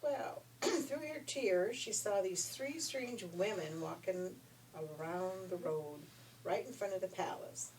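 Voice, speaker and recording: Female storyteller for kids: folksy, expressive, middle pitch, slightly far from mic. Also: slow. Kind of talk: animated children's story reading. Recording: noisy, distant room recording.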